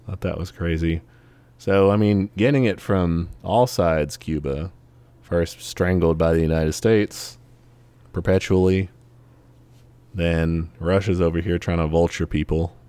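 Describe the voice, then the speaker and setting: A man's voice: low voice, fairly even intonation, unhurried, warm tone, clear, resonant tone, no room sound. A man co-hosting a podcast, talking casually and wryly about politics with frequent fillers and restarts. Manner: wry, rambling, frequent fillers. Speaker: podcast co-host.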